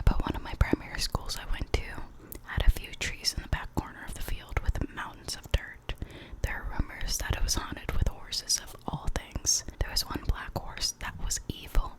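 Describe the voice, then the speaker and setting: An ASMR storyteller reading spooky local legends in a soft, unvoiced whisper, now and then stumbling and correcting themself. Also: moderate pace, rough recording. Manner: soft unvoiced whisper throughout, with small slips and self-corrections.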